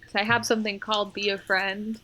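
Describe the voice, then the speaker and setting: A woman's voice: medium pitch, flat delivery, a little fast, pushed and bright. A woman playing a tabletop role-playing game, talking casually in a tentative, questioning way.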